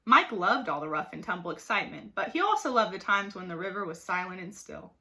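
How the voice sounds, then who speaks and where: A woman reading a children's story aloud like a teacher, with expressive, dramatic emphasis. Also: medium pitch, fairly quick. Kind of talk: animated storybook narration for children.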